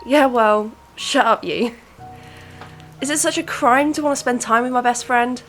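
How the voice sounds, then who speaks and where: Woman playing high-voiced young best friend. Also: measured pace, a bit bright, faint music behind. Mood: teasing, affectionate. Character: caring, teasing young female shop worker, best friend. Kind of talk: amateur radio drama acting.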